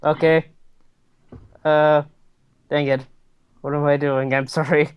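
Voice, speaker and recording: Slow, hesitant, clear-voiced man. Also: a little high, level tone, dry recording, muffled recording. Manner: hesitant, unsure, fumbling for decisions. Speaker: tabletop game player. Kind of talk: casual game-table chat.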